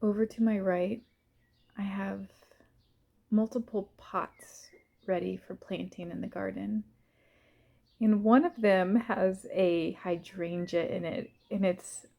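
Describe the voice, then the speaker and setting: A woman gently leading a guided visualization in the first person, soft and unhurried, pausing between short phrases. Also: medium pitch, creaky, dull audio.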